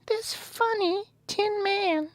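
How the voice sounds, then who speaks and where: Man doing a tiny, very high squeaky mouse. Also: takes it slowly, no room sound. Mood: regal, grateful. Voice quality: squeaky, shrill. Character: tiny squeaky field mouse queen and her mice, regal, timid.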